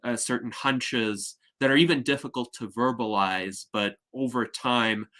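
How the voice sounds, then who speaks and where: A man hosting an online discussion, talking in a measured, articulate way over a video call. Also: mid-range voice, fairly bright tone. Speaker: host.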